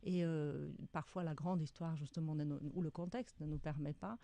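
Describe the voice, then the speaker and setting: Mellow-voiced Czech woman, reflective author. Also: low voice, fairly even intonation, moderate pace, creaky, no room sound. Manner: reflective, searching for words. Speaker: author guest. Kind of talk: radio interview.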